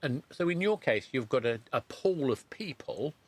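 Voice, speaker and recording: A man's voice: mid-range voice, expressive, moderate pace, breathy edge. A man hosting a podcast, interviewing conversationally and thinking aloud in a discursive way with frequent restarts. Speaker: podcast host interviewing a guest.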